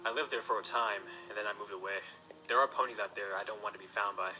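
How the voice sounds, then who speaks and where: A man's voice: fairly low pitch, fairly even intonation, fast, sharp tone, rough recording. A man playing a courteous but guarded young stallion in an audio drama, dry and understated, with music behind him. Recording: music behind, played in reaction video.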